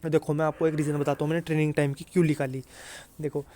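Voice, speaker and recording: A man's voice: a little high, level tone, rapid-fire, warm tone. A man with a North Indian accent giving an informal explainer to students, conversational, repeating points and often checking that listeners follow. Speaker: exam-prep YouTuber explaining job salaries.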